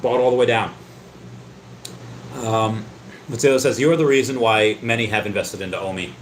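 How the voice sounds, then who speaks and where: A man's voice: on the low side, normal speed, a little hiss or hum. A man hosting a casual livestream, reading out chat comments and rambling, often stammering and repeating his words. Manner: rambling, talkative. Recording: roomy echo.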